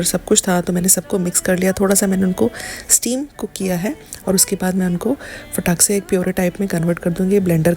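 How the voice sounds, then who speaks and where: A woman's voice: on the low side, dark tone. A woman in her thirties doing a casual, chatty home vlog voice-over, mixing English words into her speech. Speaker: home and lifestyle vlogger. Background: background music.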